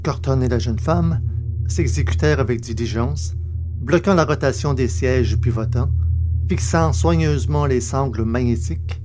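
A man reading scripted narration for a science fiction audio drama in a measured, literary storytelling manner. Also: medium pitch, background noise.

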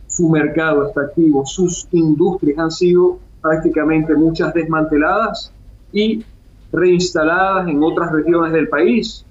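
A man's voice: on the high side, reverberant, lo-fi sound. A Venezuelan man with a Venezuelan accent, an international analyst speaking emphatically as a guest on a remote radio interview. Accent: Venezuelan Spanish.

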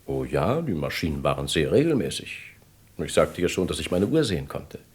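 A man's voice: very deep voice, sing-song, a little fast, slightly rough, full character voice. An actor playing an earnest, hesitant millionaire recalling his kidnapping as a witness in a German radio crime drama. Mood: hesitant, recollecting.

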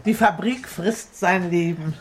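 A woman's voice: fairly low pitch, measured pace, slight background noise, close to an everyday voice. A woman acting a garrulous, good-natured housekeeper in a crime radio play, talking in a homely, folksy way. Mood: chatty, knowing.

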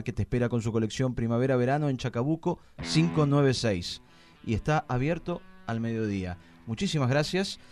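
Man, radio announcer, medium pitch, credits and sponsor reads, guitar music behind. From Argentina.

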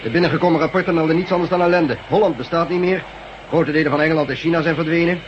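Radio drama: male actor as gruff, commanding chief, rapid delivery, muffled and hissy archive sound. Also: a little high, close to an everyday voice. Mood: brisk, grim. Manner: commanding, brisk. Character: gruff, domineering male camp chief barking orders. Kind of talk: Dutch radio drama acting. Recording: dull, very noisy old radio recording.

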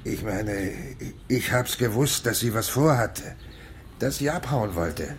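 Downcast male circus bear trainer in a radio play. His voice is fairly low and he speaks haltingly, as if confessing, over faint background noise. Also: animated. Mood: hurt, bitter. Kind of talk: radio drama acting.

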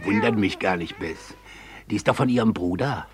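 A man's voice: lowish voice, normal speed, lightly acted. An actor in a radio play voicing a mischievous butcher who teases his gullible wife in a mock-serious way. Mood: sly, teasing.

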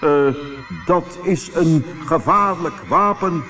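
A man voicing an alien spaceship captain in a storybook, with slow, deliberate, drawn-out speech and an easily alarmed temper. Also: a little high, fairly bright tone. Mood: uneasy.

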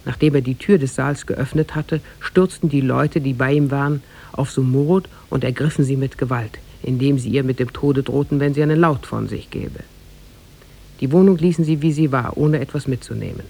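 A man narrating a tale as a formal, measured storyteller in 1950s German radio style. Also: medium pitch, steady tone, gravelly, warm tone.

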